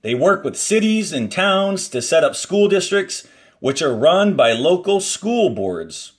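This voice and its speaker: A man teaching young students, reading a textbook aloud in a deliberate, instructional way and adding brief explanatory asides as he goes. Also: high voice, big pitch swings. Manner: deliberate, instructional, signposts each page and paragraph.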